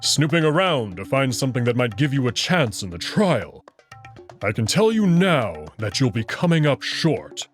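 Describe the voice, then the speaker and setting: A man playing a proud, confident wolf-man noble in a visual novel, formal and deliberate in his wording. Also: medium pitch, big pitch swings, soft background music, lightly acted. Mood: angry, confrontational. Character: proud, confident male wolf-man noble, playful but hot-tempered.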